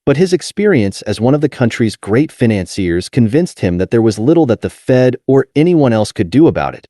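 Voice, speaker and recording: A man narrating a nonfiction audiobook in a measured, clearly articulated reading. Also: mid-range voice, big pitch swings, warm tone, no room sound, studio quality.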